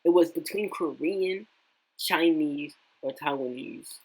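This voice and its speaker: Husky slow-talking reaction host, blurts out swears, says what they think. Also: medium pitch, poor audio. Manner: blunt, swears, quick outbursts. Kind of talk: reacting to a street interview clip.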